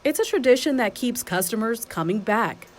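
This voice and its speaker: A woman's voice: on the high side, expressive, moderate pace, slight background noise. A woman working as a TV news reporter, narrating a scripted feature story.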